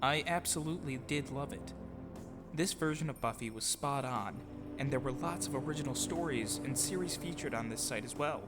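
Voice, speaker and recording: A man narrates his own story in an earnest, reflective way, as in an audio drama. His mid-pitched voice rings with long reverb, and music plays clearly behind it. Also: normal speed. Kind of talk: scripted autobiographical narration with acted dialogue. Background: music bed.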